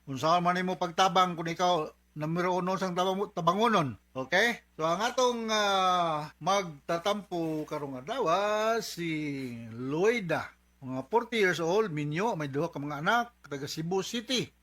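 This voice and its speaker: A man's voice: high-pitched, unhurried, bright, forceful tone. A man with a Cebuano accent, a doctor hosting a radio advice program conversationally, repeating phrases and often adding little tag questions. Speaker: host, doctor giving advice.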